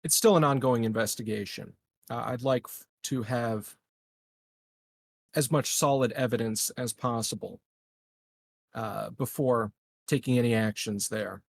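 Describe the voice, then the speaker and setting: A man roleplaying a measured, diplomatic colony administrator in a tabletop game, speaking formally and haltingly. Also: mid-range voice, fairly even intonation, creaky, dry recording, studio quality. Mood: measured, official.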